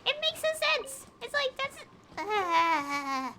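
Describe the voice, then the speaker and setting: A woman with a high, very lively voice rambles casually to her stream as she plays a game. She halts, restarts and circles back over her phrasing, her pitch swinging widely. There is clear noise behind her voice. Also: slow. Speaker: video game livestreamer. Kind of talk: casual rambling chat while gaming.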